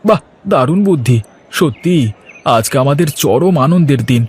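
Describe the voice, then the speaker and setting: A man playing a cheerful, higher-voiced village fellow. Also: expressive, moderate pace, darkish tone, slightly rough. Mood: delighted. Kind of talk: animated folk-tale cartoon dubbing.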